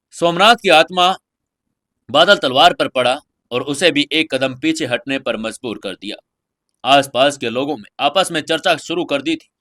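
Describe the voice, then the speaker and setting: A man's voice: medium pitch, bright, forceful tone. A man narrating a serialized fantasy audio story as its host, in a scripted dramatic style.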